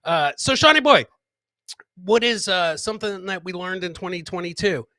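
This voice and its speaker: Guy doing a comedy podcast, kinda high bright voice, loud slangy riffing on clips, close dry mic. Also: normal speed, no room sound. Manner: boisterous, slangy, repeats catchphrases. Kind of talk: casual live commentary on funny clips.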